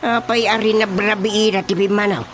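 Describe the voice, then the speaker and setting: A man with a Filipino accent playing a boastful, excitable village chairman in a radio comedy drama, blustering and exclaiming. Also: high voice, moderate pace, lightly acted. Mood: flustered. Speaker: actor. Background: music.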